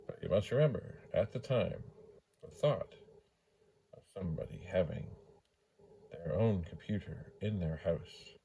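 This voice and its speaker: A man's voice: low voice, lively intonation, unhurried, creaky, long pauses, warm tone, a little hiss or hum, poor audio. An older man talking casually and unhurriedly through a demonstration, musing aloud and repeating words for emphasis. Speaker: vintage computer hobbyist presenting his channel. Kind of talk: casual rambling demonstration talk.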